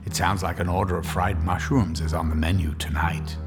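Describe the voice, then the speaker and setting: An actor playing a sinister, gleeful male horror host who speaks in a drawn-out, mocking, theatrical way. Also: deep voice, fairly even intonation, music in the background. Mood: gloating. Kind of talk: radio drama hosting.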